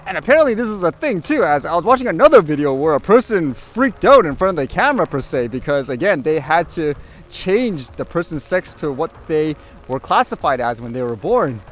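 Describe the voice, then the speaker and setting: A man's voice: a little high, very animated, brisk. A man giving casual opinion commentary as a vlogger, rambling along conversationally with frequent hedging asides. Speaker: vlogger host.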